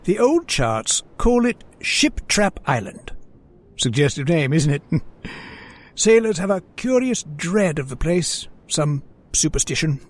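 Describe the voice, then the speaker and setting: Animated, musing yachtsman played by a man. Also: a little high, a little slow. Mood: intrigued. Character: genial, philosophical yachtsman, uneasy and superstitious hunting companion. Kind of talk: old-time radio drama acting.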